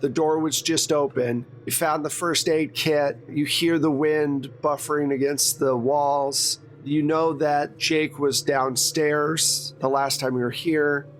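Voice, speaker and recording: A man's voice: on the high side, flat delivery, takes it slowly, few pauses, a little hiss or hum. A man acting as game master, narrating a tabletop horror game in a conversational way.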